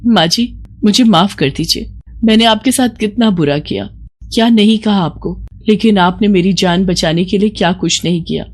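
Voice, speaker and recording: A woman voicing a haughty, quick-tempered young city daughter-in-law in a moral story, with a snapping, complaining manner. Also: mid-range voice, lightly acted. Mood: remorseful. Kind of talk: Hindi moral story acting.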